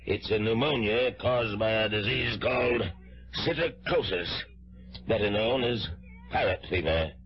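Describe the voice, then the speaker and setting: An actor playing a folksy, reassuring family doctor in a radio drama, explaining things in a casual, halting way. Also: male voice, fairly low pitch, lightly acted. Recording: dull vintage radio recording with music.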